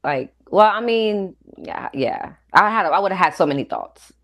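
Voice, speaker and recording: A woman's voice: fairly low pitch, animated, measured pace, fairly bright tone. A woman co-hosting a podcast in slangy casual chat, emphatic and repeating her phrases. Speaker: podcast co-host reviewing a film.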